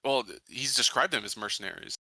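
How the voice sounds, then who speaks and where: Very bright, sharp male tabletop player. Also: mid-range voice, quick pace, no echo. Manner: questioning, thinking aloud. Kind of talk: casual game-table chat.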